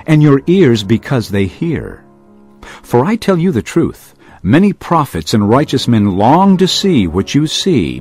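An actor playing an earnest man teaching crowds in parables, in a measured storytelling delivery for a dramatised audio Bible. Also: medium pitch, a little mellow. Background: music.

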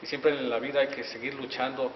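A man's voice: medium pitch, flat delivery, fairly quick, slight background noise. A middle-aged male reporter interviewing guests on community TV, effusive and congratulatory, with many fillers, holding a handheld mic indoors. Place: wood-paneled auditorium hallway, indoors. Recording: echoey hall from a distance, dull sound.

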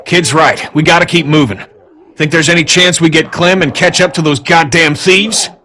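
An actor playing a hard-headed, pragmatic survivor in a zombie video game, blunt and insistent. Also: male voice, on the high side, normal speed, pushed and bright, slight background noise. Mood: grim, pressing. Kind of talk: dramatic video game acting.

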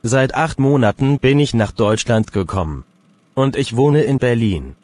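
A man's voice: mid-range voice, lively intonation, a little slow, darkish tone, no room sound, natural voice. A man playing a young adult exam candidate. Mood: plain. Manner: plain, simple statements. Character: man in his late twenties, exam candidate. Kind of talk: scripted role-play dialogue.

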